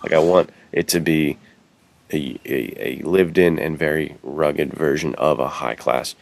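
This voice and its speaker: A man's voice: very deep voice, fairly even intonation, unhurried, creaky, dry recording. A man chatting casually as a podcast co-host, with a slangy, bro-like way of talking.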